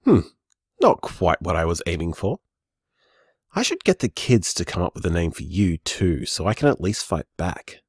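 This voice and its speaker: Audio drama role: easygoing, loving bar owner. Warm, rambling, animated delivery, dry close sound. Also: male voice, sits low, moderate pace, breathy edge, dry recording, heavily acted. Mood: fond, teasing. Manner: warm, teasing, rambling reassurance. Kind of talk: audio drama voicemail acting, naturalistic and conversational.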